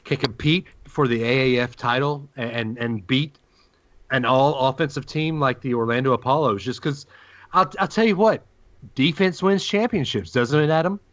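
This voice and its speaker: A man's voice: medium pitch, normal speed. A man hosting a sports podcast in casual, conversational chat. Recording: dull lo-fi audio.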